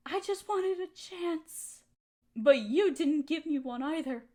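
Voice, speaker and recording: Actress for bitter, hurt young woman, high-pitched, emotional audio drama monologue, confrontational and accusing. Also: expressive, slow, a little mellow. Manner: emotional, accusatory, pointed rhetorical questions. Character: hurt, resentful young woman confronting her longtime roommate.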